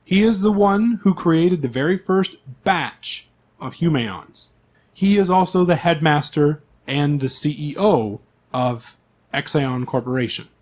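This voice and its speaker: A man's voice: on the high side, expressive, measured pace. A man speaking casually as a game master and podcast host, narrating a tabletop game.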